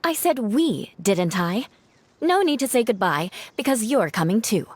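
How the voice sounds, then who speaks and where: Game role: lively voice for a steady woman leader, firm and matter-of-fact, calm under pressure. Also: on the high side, a little slow, a little hiss or hum. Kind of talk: game cutscene voice acting.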